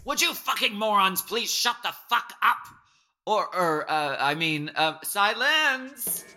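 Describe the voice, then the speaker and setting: A woman playing a theatrical, cruelly grandiose drag competition host in a comic radio play, with drawn-out announcements. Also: medium pitch, animated, bright, forceful tone. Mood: exasperated. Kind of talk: parody reality-show hosting in radio play.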